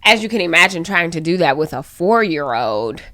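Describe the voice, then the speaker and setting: A woman co-hosting a podcast, chatting colloquially with lively asides. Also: on the low side, normal speed, dry recording, studio quality. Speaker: podcast co-host. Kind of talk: casual chat.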